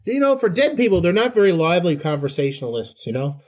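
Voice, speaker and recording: Chatty man, higher-pitched voice. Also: very animated, quick pace, a little mellow. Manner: chatty, folksy, joking. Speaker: podcast host. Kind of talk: casual conversational interview.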